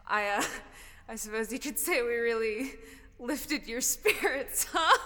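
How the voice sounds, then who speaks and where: Woman as a cocky, bright-voiced ghost, echoing. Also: fairly high pitch, expressive, slow pace, heavily acted. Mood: smug, teasing. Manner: breezy, cheeky, informal. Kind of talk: audio drama acting.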